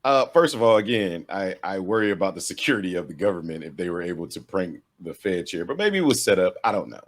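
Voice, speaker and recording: A man's voice: low voice, very animated, fast talker. A man co-hosting a show with casual, conversational commentary. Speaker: video show co-host. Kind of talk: casual reaction chat.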